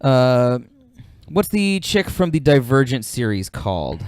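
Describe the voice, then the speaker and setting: A man's voice: medium pitch, sing-song, a little slow, darkish tone, smooth, no room sound, studio quality. A man hosting a casual podcast, talking in a laid-back, slangy way with some swearing. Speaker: podcast host reading out listener suggestions.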